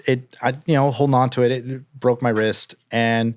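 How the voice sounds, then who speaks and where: A man from Miami tells stories as an interview guest. His pitch is average and his wording is loose and slangy. The sound is dry, with very little room in it. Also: steady tone, moderate pace, no echo. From Miami, Florida. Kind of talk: casual conversational storytelling.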